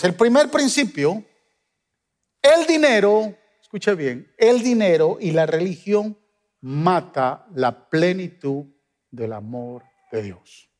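A Guatemalan man preaching a sermon as pastor, with emphatic rises and sudden soft drops. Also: high voice, big-room sound. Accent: Guatemalan Spanish. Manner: emphatic preaching, swings between loud peaks and soft drops.

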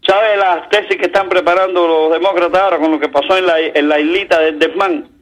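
A man's voice: high voice, steady tone, brisk, fairly bright tone, poor audio. A man with a Cuban accent calling in to a talk radio show, giving opinionated political commentary in a colloquial, sardonic, idiom-filled way.

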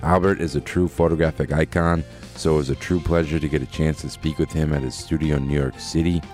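A man's voice: extremely low pitch, fairly even intonation, a little fast, a little mellow. A man hosting a podcast, reading a scripted introduction about a guest. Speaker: podcast host. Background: guitar music.

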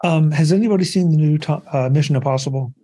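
A man's voice: fairly high pitch, fast talker, mellow, a little hiss or hum. A man hosting a casual panel discussion, talking in a rambling, conversational way with many fillers. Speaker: podcast host.

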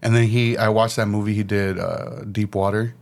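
Easygoing man with slightly raspy voice. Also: lowish voice, little pitch movement, normal speed. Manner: easygoing, laughs often, hesitant restarts. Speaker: podcast host. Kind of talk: casual chat.

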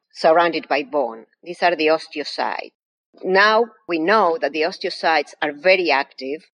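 A woman's voice: low-pitched, low-quality recording. A woman from Argentina with an Argentine Spanish accent, a professor explaining her research patiently and methodically as an interview guest.

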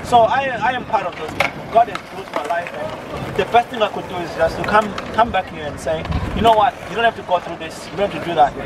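Earnest young man, loud street behind. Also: sits high, fast. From Zimbabwe. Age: 20s. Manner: earnest, repetitive, many restarts. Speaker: street evangelist. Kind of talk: interview answers, preaching. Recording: distant mic, loud outdoor traffic noise.

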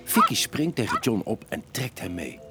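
A man's voice: mid-range voice, wildly swooping pitch, moderate pace. A man narrating a children's audio story in a dramatic, suspenseful, scripted style. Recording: music bed behind the narration.

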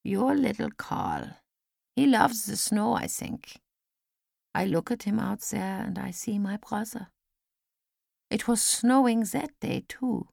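Woman as elderly lady, frail, gentle, wistful, slow deliberate speech, creaky, audiobook character. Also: medium pitch, sing-song, no echo, studio quality, exaggerated voice. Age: older.

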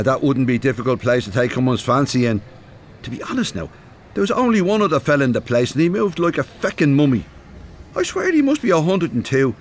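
A man's voice: medium pitch, slight background noise. An actor playing an older Irish man, cheeky and wry, bantering in a naturalistic radio drama.

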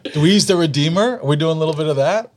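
Hoarse guy on a backyard pod, animated, cracking jokes, swears some. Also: fairly high pitch, fast. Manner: animated, joking, profane. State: hoarse from cheering. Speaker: podcast co-host. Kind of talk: casual podcast chat.

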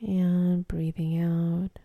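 A woman leading a guided meditation in a soft, calm, half-whispered voice with long pauses between phrases. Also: fairly low pitch, no room sound, phone-quality sound.

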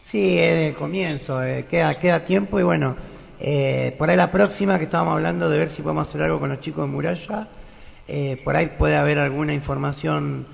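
A man hosting a casual radio interview, relaxed and hesitant with many fillers. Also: fairly high pitch, gravelly, long reverb tail. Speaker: radio host.

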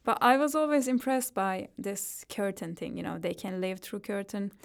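A woman with a Turkish accent explains her ideas in a halting, reflective way, with light laughs between thoughts. Her voice has a gravelly, creaky texture at a middle pitch. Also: animated. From Turkey. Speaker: artist guest. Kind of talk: interview conversation.

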